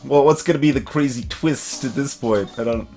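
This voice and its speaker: A man's voice: medium pitch, moderate pace, darkish tone. A man giving casual game commentary and reading dialogue aloud, musing and repeating his phrases as he thinks. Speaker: let's play host.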